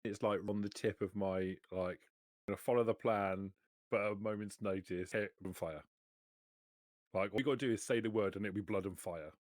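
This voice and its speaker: A man's voice: fairly low pitch, a little fast, no echo, clean studio sound. A man playing a tabletop roleplaying game, talking casually and improvising as he goes.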